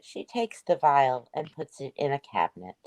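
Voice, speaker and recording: A woman reading stage directions for a play in a plain, measured scripted narration. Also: extremely low pitch, big pitch swings, a little mellow, no echo, low-quality recording.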